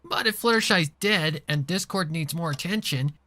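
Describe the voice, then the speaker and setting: A man's voice: fairly high pitch, normal speed, pushed and bright. A man voicing a brash young female cartoon pony who questions bluntly. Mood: challenging. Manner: blunt, challenging.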